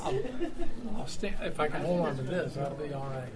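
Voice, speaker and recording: An older man speaking informally and haltingly, correcting himself as he goes. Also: on the high side, over a noisy crowd. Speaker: club member giving a tribute. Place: restaurant room at a club luncheon.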